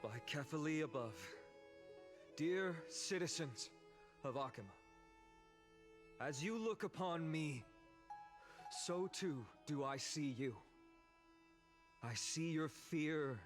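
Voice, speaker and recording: A slow, solemn young hero orating. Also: male voice, medium pitch. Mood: solemn, resolute. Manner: formal, solemn, measured oratory. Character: young earnest heroic man orating before a citizen assembly. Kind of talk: dramatic video game speech. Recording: long hall reverb under loud music.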